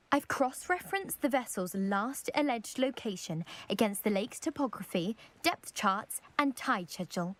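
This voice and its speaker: A woman playing a proud, eager young lady from a scholarly family in a video game, with a posh British accent and precise, self-assured delivery. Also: on the high side. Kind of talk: video game character acting.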